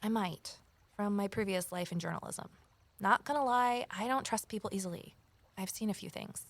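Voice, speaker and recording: An actress playing a wary, determined amateur sleuth in a naturalistic audio drama, conversational and gently wry. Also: female voice, medium pitch, normal speed, no room sound, natural voice. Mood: guarded, anxious. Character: Evelyn, wary determined former journalist turned amateur sleuth. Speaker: lead character and first-person narrator.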